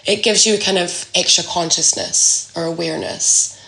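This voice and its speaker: A woman talking reflectively and casually as an interview guest, halting and thoughtful as she searches for words. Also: on the low side, fairly even intonation, bright, forceful tone, reverberant, poor audio. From Scotland. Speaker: podcast guest sharing a personal story.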